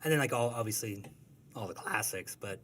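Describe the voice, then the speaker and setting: A man's voice: fairly low pitch, fairly quick, fairly bright tone, a little hiss or hum. A man from the United States chatting casually as a podcast guest, with informal, brief answers and hesitant starts. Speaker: podcast guest, comic strip artist. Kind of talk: casual interview chat.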